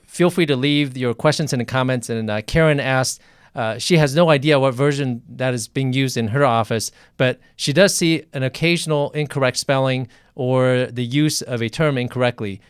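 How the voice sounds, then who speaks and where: A man with a smooth, clean voice at a medium pitch hosts a conversational interview. He speaks haltingly and often repeats a phrase before going on. The sound is dry, from a treated room. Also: no room sound. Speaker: physician interviewer hosting a livestream.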